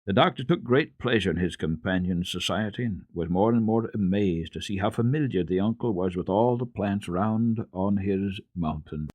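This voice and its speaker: A man reading a children's book aloud as a radio storyteller, in a measured, expressive way. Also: lowish voice, no room sound. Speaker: storyteller, radio program host.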